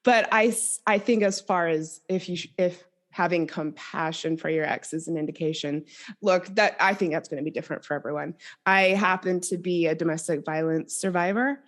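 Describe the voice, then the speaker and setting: Woman, somewhat low pitch, podcast guest, coach, conversational, explanatory, thoughtful. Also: steady tone, moderate pace.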